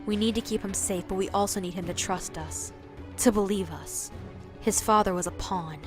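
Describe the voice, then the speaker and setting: Cold bossy female conspirator, acted by a woman, audio drama, condescending, commanding, scolding, soft music behind. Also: mid-range voice, normal speed, natural voice. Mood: cool, calculating.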